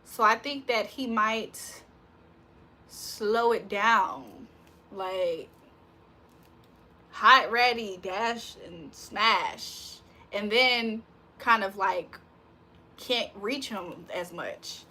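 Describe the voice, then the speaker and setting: A woman's voice: mid-range voice, very slow, long pauses, sharp tone. A woman doing a casual livestream tarot reading, chatting with viewers in slangy talk and reading their comments aloud.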